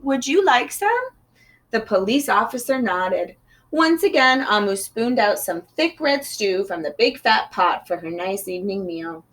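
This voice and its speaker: A woman reading a children's picture book aloud in an expressive storytelling manner. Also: medium pitch, a little slow. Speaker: storybook reader.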